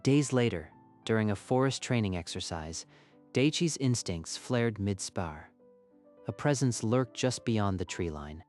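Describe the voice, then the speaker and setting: A man's voice: fairly low pitch, expressive, measured pace, darkish tone, soft background music. A man narrating a scripted story in a dramatic style.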